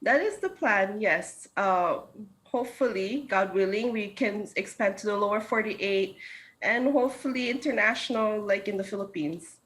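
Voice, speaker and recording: A woman's voice: mid-range voice, normal speed, fairly bright tone, poor audio. Woman in her 30s from hawaii, talks it through with lots of ums, video call sound. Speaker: food truck co-owner, guest. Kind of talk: remote news interview.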